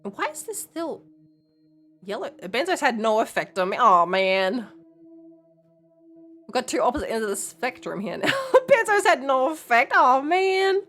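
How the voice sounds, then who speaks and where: Young woman, streamer, lively pitch, blunt, laughs often, desk mic. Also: unhurried, soft background music. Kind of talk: casual live-stream commentary.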